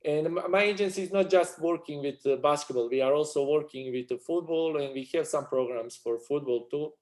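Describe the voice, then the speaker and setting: Serbian-accented man, halting English. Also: fairly high pitch, darkish tone. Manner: halting non-native English, frequent restarts and fillers. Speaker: sports agency owner. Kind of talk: casual interview chat.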